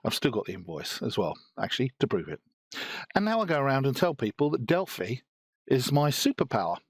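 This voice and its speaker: A man in his late fifties with a British accent, telling a personal story to the camera as a software developer, with dry, wry humor. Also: mid-range voice, sing-song, brisk, slightly rough. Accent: British English. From United Kingdom.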